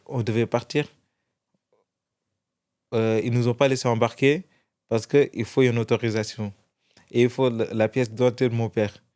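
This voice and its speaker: A man's voice: mid-range voice, darkish tone. A young man from France, a guest in an interview, telling his story casually with many restarts. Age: young adult.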